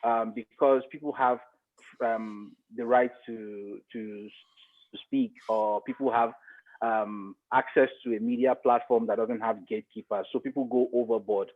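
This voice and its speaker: Middle-aged Nigerian male interviewee, mid-pitched, emphatic, repeats himself for weight, narrow telephone-style audio. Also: steady tone, a little slow. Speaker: interview guest, media expert. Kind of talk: conversational interview commentary. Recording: phone-like thin radio-style sound.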